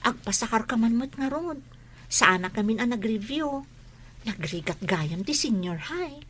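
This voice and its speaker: A woman's voice: fairly high pitch, expressive, measured pace, a bit bright, a little hiss or hum. A woman playing a pushy teenage student in a radio drama, insistent and whining in her complaints.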